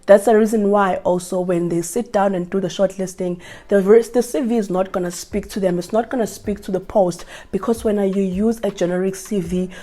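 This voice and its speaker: A young South African woman with a South African accent, talking casually to camera and giving job-application advice while hoarse from flu. She talks earnestly, repeating and restarting her phrases. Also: mid-range voice, steady tone, brisk, few pauses, dark tone.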